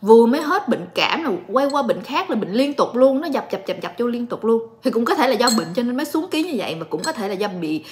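Woman, late 20s, home vlogger; calm, chatty, slightly high-pitched, talks straight to her audience. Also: fast talker, few pauses, slight background noise. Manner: calm, chatty, addresses viewers directly. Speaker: vlogger and story reader. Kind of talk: casual chat to viewers. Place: living room at home.

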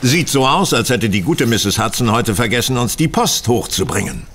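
A man's voice: mid-range voice, very animated, moderate pace, a bit bright. A man playing a bored, gloomy, sardonic Victorian detective with theatrical, dry delivery in a German audio drama.

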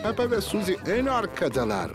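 Animated cartoon narrator. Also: male voice, high voice, fairly quick. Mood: lively. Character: lively male storyteller of children's cartoon. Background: music.

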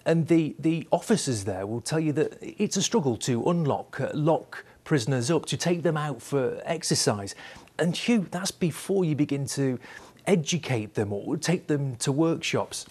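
A man's voice: a little high, expressive, normal speed, darkish tone, breathy edge. A man, a news reporter narrating a report and answering an anchor's questions. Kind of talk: scripted news narration and studio debrief.

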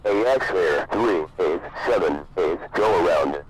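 A man's voice: low voice, animated, a little slow. A man speaking as an airline pilot, giving scripted readbacks through an aviation radio effect. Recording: noisy aviation radio transmission.

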